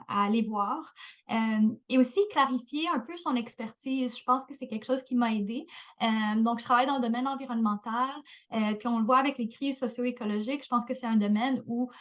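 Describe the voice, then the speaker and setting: A woman speaking as a professor on a panel, hesitant with many fillers. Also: a little high. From Canada. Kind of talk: panel discussion.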